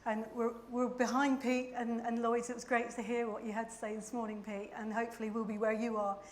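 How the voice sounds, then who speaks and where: A woman's voice: a little high, little pitch movement, fairly quick, roomy sound, slight background noise. A woman speaking as a manager on a conference panel, hesitant with many fillers. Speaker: global vendor manager. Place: conference hall.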